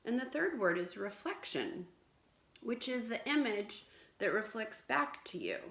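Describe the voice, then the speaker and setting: The voice is a middle-aged American woman with an average pitch. She reads aloud as a teacher does for young children, explaining things simply. The room around her is noticeably echoey, as if recorded on a camera a little way off. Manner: clear, simple explaining with questions to listeners. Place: small indoor room at home, seated on a bench. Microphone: camera or phone a short distance away.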